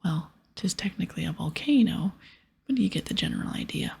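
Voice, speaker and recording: Bossy old-salt pirate captain played by a woman, video game, breathy ASMR whisper, piratical turns of phrase. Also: fairly low pitch, lively intonation, brisk, darkish tone, exaggerated voice. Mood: bossy, explaining. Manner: piratical dialect, explaining and ordering.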